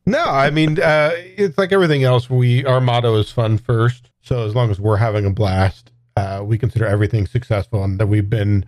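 A man's voice: medium pitch, fairly even intonation, fairly quick, few pauses, darkish tone. A man speaking as a podcast guest in casual, informal, self-deprecating chat.

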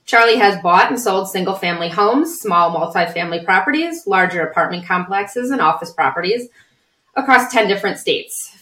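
A woman's voice: mid-range voice, a bit bright. Distant, echoey woman host. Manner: reads an introduction, then asks questions. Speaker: podcast co-host. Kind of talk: reading a guest bio. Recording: roomy echo, distant from mic.

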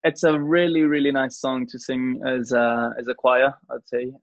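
A man's voice: medium pitch, little pitch movement, normal speed, no echo. Young adult man, New Zealand-tinged accent, earnest interview guest, dry close sound, slightly lo-fi. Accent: New Zealand-influenced. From Switzerland. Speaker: podcast guest, church worship singer. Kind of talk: casual interview chat.

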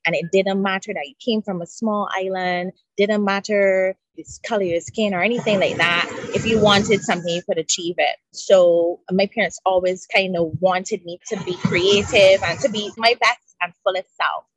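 A woman's voice: medium pitch, steady tone, normal speed, few pauses, a little hiss or hum, low-quality recording. A woman in her thirties from Barbados, a podcast guest telling earnest stories about her childhood, recorded outdoors by the ocean. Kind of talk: relaxed interview chat. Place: outdoors by the sea.

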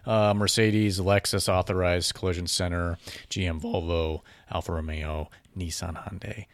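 A man with a low voice co-hosts a casual boxing podcast, talking easily and throwing in promotional plugs. He's on a studio mic with headphones in a small podcast room, and the sound is close and dry. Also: steady tone, moderate pace, dry recording. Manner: promotional, plugs sponsors and events. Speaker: podcast co-host.

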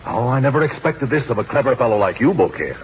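A man acting in a 1940s radio comedy as a haughty, lovestruck, scheming duke with pompous, excitable delivery. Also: medium pitch, fast, natural voice. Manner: theatrical, pompous exclamations. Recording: muffled, slightly noisy 1940s radio broadcast.

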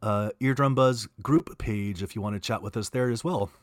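A man's voice: lowish voice, moderate pace, no room sound, studio quality. Easygoing man co-hosting radio. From Southern California. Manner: easygoing, hesitant. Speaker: radio co-host. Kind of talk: casual radio chat.

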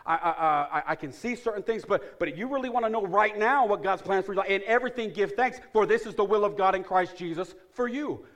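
A middle-aged man preaching a sermon in a slightly raised register. He repeats his key lines for emphasis. His delivery moves between loud, rising calls to the congregation and quiet, hushed asides. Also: high-pitched, brisk, hardly any pauses. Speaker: pastor.

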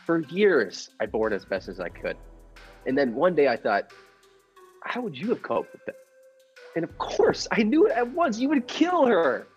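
An actor playing a timid, henpecked little husband in an old-time radio comedy, stammering and fussily polite. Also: male voice, on the high side, wildly swooping pitch, moderate pace, slightly rough, full character voice. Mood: earnest, agitated. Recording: dull lo-fi audio with music behind.

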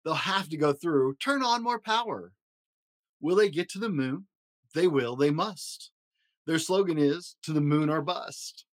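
A man hosting a story podcast, reading a rhyming children's picture book aloud in an expressive, rhythmic way. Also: fairly high pitch, a little slow. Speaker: podcast host and story reader.

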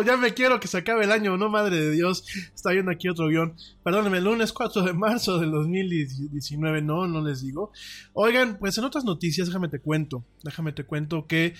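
A man's voice: sits high, fairly bright tone. Chatty Mexican man hosting a podcast. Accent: Mexican Spanish. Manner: chatty, rambling, frequent restarts and fillers. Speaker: podcast host. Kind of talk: casual solo podcast chat.

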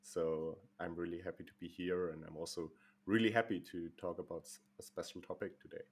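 Deep-voiced young man, halting and thoughtful. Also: fairly even intonation, breathy edge. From Germany. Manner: thoughtful, halting, repeats words. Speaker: podcast guest. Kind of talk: casual podcast chat.

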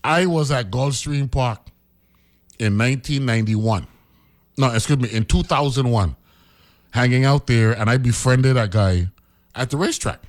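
A man's voice: medium pitch, normal speed, long pauses. A middle-aged man from the Virgin Islands with a Virgin Islands accent, a radio host telling a story in casual commentary and often tagging his sentences with "right?". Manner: conversational storytelling, keeps asking "right?".

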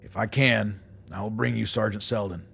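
A man playing a gravelly, gruff army colonel. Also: lowish voice, fairly even intonation, moderate pace, a bit bright, exaggerated voice. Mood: commanding, resolute. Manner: blunt, dry, commanding. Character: gruff, embattled military colonel defending a rebel republic. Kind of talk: radio drama acting.

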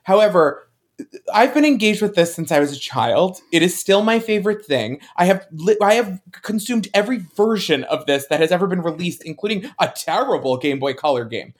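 A man with a lively, swooping delivery and a voice pitched a bit high. He speaks as a podcast co-host in a casual fan discussion. His manner is emphatic and opinionated. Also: brisk.

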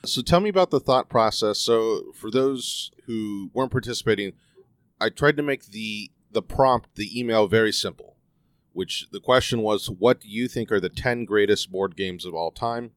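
Host read: man, low and smooth voice, dry close sound, measured conversational delivery. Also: dry recording. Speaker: podcast host. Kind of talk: podcast interview hosting.

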